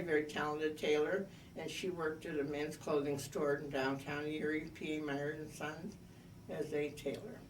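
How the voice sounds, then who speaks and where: A woman in her eighties telling her life story in an oral history interview, measured and reminiscing with frequent pauses. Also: low voice, reverberant, distant mic, a little hiss or hum, low-quality recording. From United States. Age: 80s.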